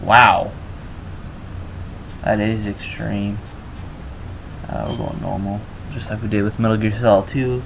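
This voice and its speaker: Gravelly male let's player over background noise. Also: lowish voice, little pitch movement, unhurried, pauses often. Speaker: video game let's player. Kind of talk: casual let's play commentary. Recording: noisy home recording.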